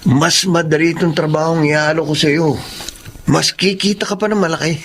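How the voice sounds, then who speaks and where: A man's voice: fairly high pitch, fairly even intonation, normal speed, a bit bright, a little hiss or hum. A man playing a slick young street friend pushing easy money in a Filipino radio drama. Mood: persuasive.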